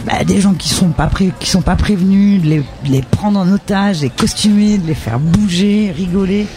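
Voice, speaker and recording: A woman from northern Charente in France, a festival performer, chatting casually and lively as a guest on a festival radio show. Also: mid-range voice, moderate pace, faint music behind. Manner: lively, talkative, switches to familiar tu. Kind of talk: casual radio interview chat.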